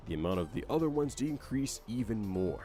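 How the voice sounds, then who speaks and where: A man's voice: medium pitch, sing-song, brisk, mellow. A man delivering scripted explainer narration for a video game update. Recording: faint music under narration. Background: faint music.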